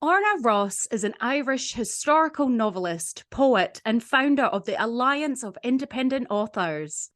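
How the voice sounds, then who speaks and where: Bright-voiced enthusiastic woman host. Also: fairly high pitch, lively intonation, normal speed, hardly any pauses, soft background music. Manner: enthusiastic, effusive praise. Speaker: podcast host. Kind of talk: interview hosting.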